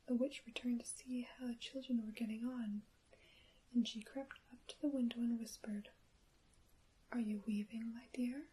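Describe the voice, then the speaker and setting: Female ASMR storyteller, hushed breathy whisper, mellow tone, soothing careful delivery over audible hiss. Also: on the high side, steady tone, normal speed, poor audio. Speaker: ASMR creator reading a short story. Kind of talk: ASMR bedtime story reading.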